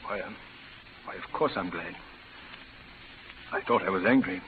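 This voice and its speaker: An actor playing a stern, proud frontier rancher in an old radio western, speaking formally and earnestly. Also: male voice, low-pitched, measured pace. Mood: softened. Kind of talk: 1940s-50s radio western acting. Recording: muffled, noisy vintage radio recording.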